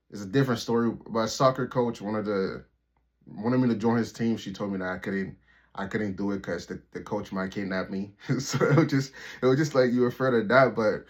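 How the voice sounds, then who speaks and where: Young man from Liberia, very low voice, casual profane storyteller, dull lo-fi recording. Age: 20s. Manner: rambling, loose and profane, full of "like" and restarts. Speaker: YouTuber telling a personal story.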